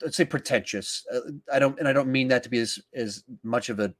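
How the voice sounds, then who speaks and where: A man with a medium-pitched voice hosts a casual live film podcast. He reads viewer questions aloud and answers conversationally. His delivery is emphatic, and he often works out his thoughts as he speaks. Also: a little fast, fairly bright tone. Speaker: podcast host.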